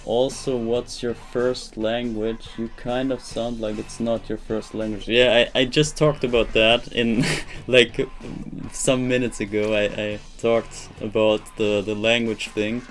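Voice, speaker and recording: A man's voice: medium pitch, flat delivery, unhurried. A young man whose first language is German, chatting casually on a live stream in English, rambling and searching for words with many restarts. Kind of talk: casual live-stream chat while gaming.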